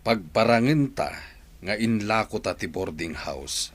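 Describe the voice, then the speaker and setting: A man playing a worried, scheming husband in an audio drama, speaking earnestly and deliberately. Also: on the low side, animated. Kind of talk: Ilocano audio drama acting.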